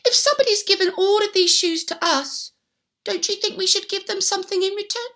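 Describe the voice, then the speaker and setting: A woman's voice: very high voice, brisk, pushed and bright, pitched above the performer's own voice, heavily acted. A woman voicing a shoemaker's kindly, thoughtful old wife in a storybook, with eager, emphatic phrasing. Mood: earnest.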